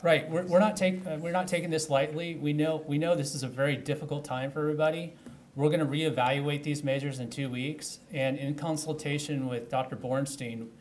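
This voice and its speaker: A man's voice: fairly high pitch, steady tone, fairly quick, a little mellow, a little hiss or hum. A man, a county official, earnestly urging the public at a press conference and restarting phrases as he speaks into podium microphones. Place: indoor press room at a podium.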